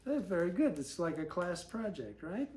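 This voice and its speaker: A woman's voice: on the low side, big pitch swings, moderate pace, darkish tone. A woman reading a children's picture book aloud, breaking off to chat and put questions to her young listeners. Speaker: storybook reader.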